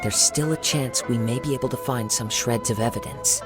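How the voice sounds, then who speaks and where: An actor playing a calm, thoughtful young swordsman in a video game, speaking in a measured, reflective and polite way. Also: medium pitch, loud music behind the voice, natural voice. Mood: calm, resolved. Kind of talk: video game character voice acting.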